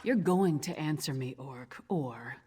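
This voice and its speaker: A woman's voice: deep voice, animated, measured pace, warm tone, husky, exaggerated voice. A woman voicing a tough, blunt half-giant warrior with a dryly menacing manner in a fantasy game. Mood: threatening. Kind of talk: fantasy video game character acting.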